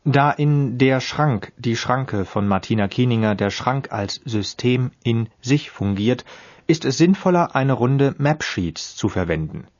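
A man delivers an experimental spoken-word piece in a dry, declamatory way. His tone turns without warning from flat instruction to sudden emphasis. The recording sounds dull and lo-fi. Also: mid-range voice, unhurried, slight background noise. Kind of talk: experimental radio piece reading.

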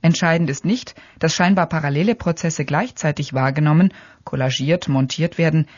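A mid-pitched voice reads an experimental essay in a calm, matter-of-fact way. The sound is dry and close, with no room around it. The audio is dull and lo-fi. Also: normal speed, no room sound. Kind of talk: experimental radio essay narration.

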